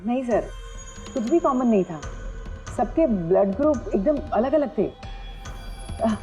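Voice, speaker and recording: An actress playing a defensive, insistent lab employee in a TV crime drama. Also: female voice, a little high, expressive, takes it slowly, dark tone, soft background music.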